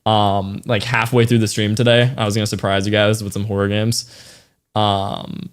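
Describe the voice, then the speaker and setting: A young man with a slightly raspy voice, worn out after a long stream, chatting casually to his viewers and thanking donors with lots of slang. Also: lowish voice, level tone, moderate pace. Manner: loose, thanks donors, slang-heavy, trails off. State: tired, voice getting raspy. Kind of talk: casual livestream chat to viewers.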